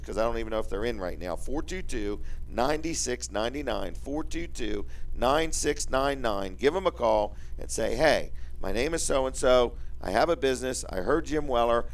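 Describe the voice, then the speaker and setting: A man's voice: medium pitch, far from the mic, low-quality recording. An American man hosting a casual promotional radio chat in a folksy, repetitive salesman's manner with joking questions. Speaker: radio show host and business owner.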